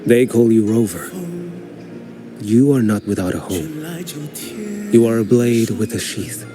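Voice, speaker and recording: An actor voicing a calm, grave swordsman wanderer in a video game, speaking in a measured, solemn way. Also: lowish voice, natural voice. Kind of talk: game voice acting. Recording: game audio with music underneath.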